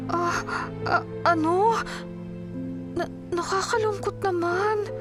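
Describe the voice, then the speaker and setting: A woman's voice: extremely high pitch, steady tone, slow pace. An actress playing a devoted, caring career woman in a Filipino radio drama, speaking earnestly with a Filipino accent. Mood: sympathetic. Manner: earnest, solicitous. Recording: loud music behind the voice.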